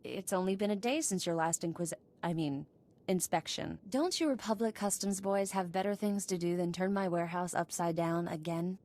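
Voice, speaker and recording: A woman's voice: lowish voice, moderate pace, darkish tone, close to an everyday voice. A woman playing a tough, sardonic smuggler running a warehouse in a video game, blunt and wry. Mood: sarcastic.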